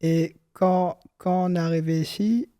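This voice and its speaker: A young man hosts a relaxed chat with his mother and keeps asking short, curious questions. His voice sits a little high with a soft, dark tone, recorded close and dry. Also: a little slow, clean tone, no echo. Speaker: host interviewing his mother. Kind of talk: casual chat.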